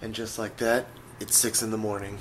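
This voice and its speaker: A man's voice: on the low side, level tone, normal speed, loud music behind the voice, poor audio. A young man in his twenties talks casually to a handheld camera for his daily vlog, rambling and laughing often. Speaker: vlogger. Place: outdoors in city streets and parking lots, also in a car and at home. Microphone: handheld camera at arm's length.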